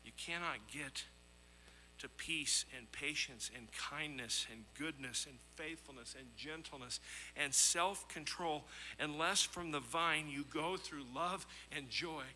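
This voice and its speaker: Bright, forceful man preaching a sermon. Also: a little high, slow, a little hiss or hum, rough recording. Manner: earnest, emphatic, with rhetorical questions and repetition. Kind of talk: sermon with scripture readings and anecdotes.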